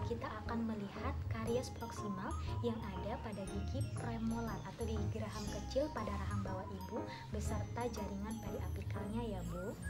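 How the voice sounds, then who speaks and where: A young woman, a dental radiography student, politely walks a patient through a procedure and then narrates the steps of a skills lab demonstration. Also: mid-range voice. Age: young adult. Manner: polite, step-by-step explaining, formally addresses the patient. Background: loud music.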